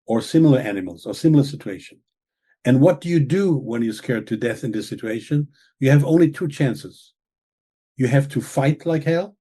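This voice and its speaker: Man, English speaker, mellow tone, slightly breathy, earnest explainer. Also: medium pitch. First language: German. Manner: earnest, lecturing, with frequent "okay?" and "right?" check-ins. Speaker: author and guest expert. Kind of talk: explaining in an interview.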